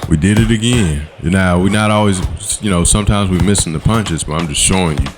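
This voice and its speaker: A man, giving laid-back voice-over commentary on his gameplay in a slangy, coaching way. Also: a little hiss or hum. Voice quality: low voice. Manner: laid-back, coaching, slangy with quick "boom" call-outs. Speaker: gaming channel host. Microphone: voice-over recorded separately from the footage.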